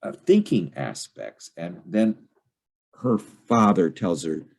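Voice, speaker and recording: An older man giving an informal study-group lecture, reading and commenting in a halting, digressive way with unfinished sentences. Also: low-pitched, sing-song, vocal fry, a little mellow.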